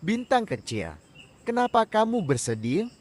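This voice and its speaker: A man's voice: high voice, wildly swooping pitch, a little slow, heavily acted. A man voicing talking animals in children's bedtime stories, with an exaggerated swooping delivery. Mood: concerned. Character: storybook animals: tiger, little mouse, owl, frog. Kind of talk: children's storybook character acting.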